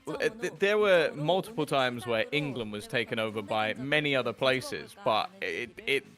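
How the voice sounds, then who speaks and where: Man, bright tone, rambling casual chat, swears a lot, faint music behind. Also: mid-range voice, expressive, normal speed. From South Africa. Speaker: streamer hosting a watch party.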